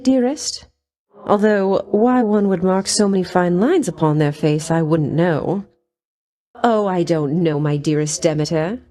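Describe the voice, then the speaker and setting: Actress as a vain, flirtatious game goddess of love, purring and coy, with a lively sing-song lilt. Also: female voice, on the low side, natural voice. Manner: coy, teasing, sultry, with sweet-voiced threats. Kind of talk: video game voice lines.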